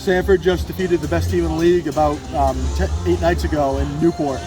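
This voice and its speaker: A man's voice: on the high side, steady tone, a little fast, low-quality recording. A man in his twenties giving a casual, enthusiastic sports interview as a team announcer. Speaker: PA announcer and radio producer for a baseball team, interview guest. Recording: outdoor recording with music behind.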